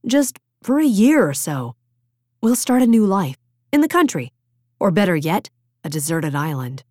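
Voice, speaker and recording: Woman doing a teen boy in an audiobook, restless n wistful, casual half-joking pleading, bit low. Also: sing-song, moderate pace, a little mellow, no room sound, studio quality. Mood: pleading, eager. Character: restless, wistful teenage boy about to leave for college. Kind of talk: audiobook character dialogue.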